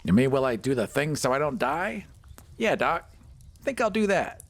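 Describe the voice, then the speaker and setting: A man's voice: medium pitch, very animated, moderate pace. Man playing Thumper, a brash furry squad member. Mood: sarcastic. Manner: sarcastic, brash. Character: Thumper, cocky, mouthy, loyal squad member with fur.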